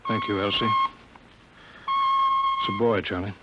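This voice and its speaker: A man's voice: lowish voice, huge pitch swings, unhurried. An American man playing an aging, anxious flight controller in a 1950s radio drama, earnest and insistent. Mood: weary, grieving. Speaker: actor. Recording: noisy, muffled 1950 radio recording.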